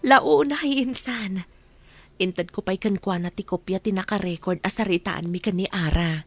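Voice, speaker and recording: A woman playing a tender, long-yearning mother in a radio drama, with an emotional, breathy delivery. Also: mid-range voice, normal speed, dry recording, natural voice. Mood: grateful, relieved.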